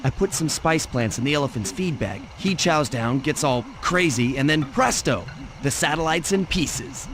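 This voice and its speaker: An actor playing a cool, wisecracking young thief in a video game, with a breezy, wry, casual delivery. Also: male voice, on the high side, animated, normal speed, crowd noise, low-quality recording. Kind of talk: video game cutscene acting.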